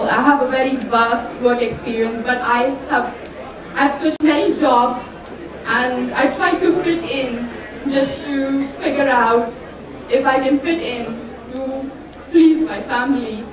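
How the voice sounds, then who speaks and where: A woman's voice: high-pitched, measured pace, crowd noise. A woman in her twenties from Qatar, earnestly and emotionally introducing herself as a pageant contestant on an indoor stage, through a microphone picked up from afar. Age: 20s. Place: large indoor stage before an audience. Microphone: handheld microphone through speakers, heard from afar. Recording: echoey hall PA, distant pickup.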